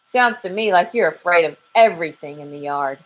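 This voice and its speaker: Woman playing a blunt, brisk chick detective. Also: lowish voice, expressive, rough recording. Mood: exasperated. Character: tough, no-nonsense female chick detective.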